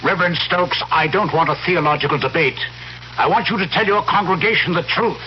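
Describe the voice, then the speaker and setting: A man's voice: fairly high pitch, a little fast, a little hiss or hum, natural voice. An actor playing an older doctor, a husband and father, in 1970s radio drama style. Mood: indignant, insistent. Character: older doctor, archaeologist husband, defensive of his son. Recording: muffled old radio broadcast with music.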